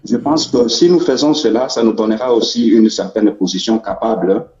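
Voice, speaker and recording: A Congolese man calling in to a show, giving his opinion in a measured, explanatory and emphatic way. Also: lowish voice, crowd noise. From Democratic Republic of the Congo. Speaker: caller. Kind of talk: earnest opinion on a call-in show. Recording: muffled distant echoey phone call.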